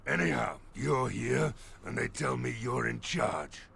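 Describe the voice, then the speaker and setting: An actor playing a weary, worried village mayor in a fantasy game, with game music behind him. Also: male voice, medium pitch, very animated, unhurried, vocal fry, a bit bright, slightly rough, exaggerated voice. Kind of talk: video game voice acting.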